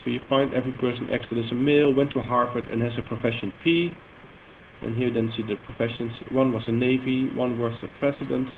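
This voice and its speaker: A man with a Dutch accent explaining database queries in a live demo, halting and full of fillers. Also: medium pitch, little pitch movement. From Netherlands. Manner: halting, filler-heavy, self-correcting explanation. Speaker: software presenter demonstrating database queries. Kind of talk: live technical demo talk. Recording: tinny phone-like audio with faint noise.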